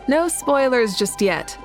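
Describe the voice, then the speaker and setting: A woman's voice: high-pitched, full character voice. A woman playing a poised, confident leader with a lightly playful side in a video game. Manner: composed, assured, lightly playful. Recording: music behind the voice.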